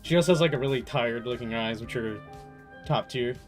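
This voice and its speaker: A man's voice: mid-range voice, brisk. A young man giving casual, informal playthrough commentary as a game streamer, reacting aloud. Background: faint game music.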